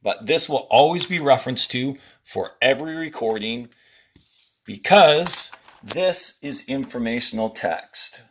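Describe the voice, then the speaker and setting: A man teaching children, reading a textbook aloud and explaining it step by step in short, deliberate directions. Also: medium pitch, expressive, a little hiss or hum. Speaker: fourth-grade teacher. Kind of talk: instructional read-aloud of a textbook to pupils.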